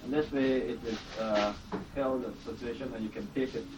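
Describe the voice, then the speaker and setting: A man calmly explaining things step by step in casual conversation. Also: mid-range voice, brisk. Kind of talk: casual chat. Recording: distant mic with clear background noise.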